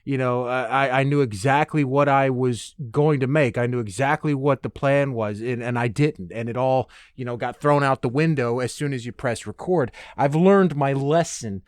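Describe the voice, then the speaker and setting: A man's voice: mid-range voice, moderate pace, hardly any pauses, dry recording, studio quality. A man hosting a podcast alone in a casual, loose, rambling monologue with a playful, self-deprecating manner. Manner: rambling, loose, tangent-prone. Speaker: podcast host.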